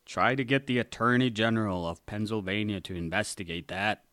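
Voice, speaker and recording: Smug conservative activist played by a man, slightly deep voice, pompous and self-righteous, for comic radio drama. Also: fairly quick, sharp tone, no room sound, studio quality. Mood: scheming. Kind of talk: satirical radio drama acting.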